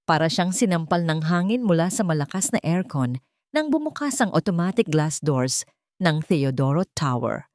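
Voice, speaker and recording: Woman, story narrator, lowish pitch, mellow tone, dry close sound, dramatic scripted delivery. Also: big pitch swings, moderate pace, no room sound.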